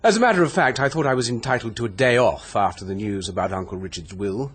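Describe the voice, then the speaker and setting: An actor playing a shifty, money-hungry nephew with an English accent, breezy, casual and evasive in a radio drama. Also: male voice, medium pitch, expressive, fast talker, a bit bright, slightly rough. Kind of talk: radio mystery drama acting.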